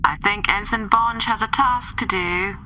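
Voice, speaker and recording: A woman's voice: mid-range voice, a little slow, sharp tone, slight background noise, poor audio. A woman speaking in character as a team leader in a tabletop game.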